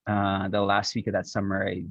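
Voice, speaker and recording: A man from the Philippines and the US Midwest, telling his story casually and haltingly as a guest on a video call, with lots of filler "like". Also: fairly low pitch, completely flat delivery, vocal fry, no echo, dull audio. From born in the Philippines, raised there and in the US Midwest. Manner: halting, peppered with "like". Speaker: startup founder, panel guest.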